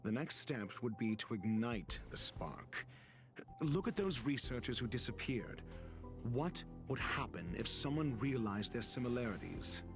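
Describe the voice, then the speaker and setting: Male actor as composed game detective, articulate, deliberate, expository, middling pitch, clear music underneath. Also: expressive, natural voice. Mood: grave, emphatic. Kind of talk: dramatic video game cutscene monologue. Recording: music behind the voice. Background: background music.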